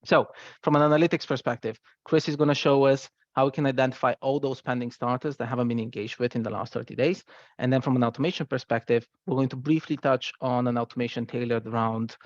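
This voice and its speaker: A man's voice: mid-range voice, steady tone, fast talker, no echo, dull audio. A man giving a product presentation in an explanatory, conversational way, checking in with listeners as he goes. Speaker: software presenter.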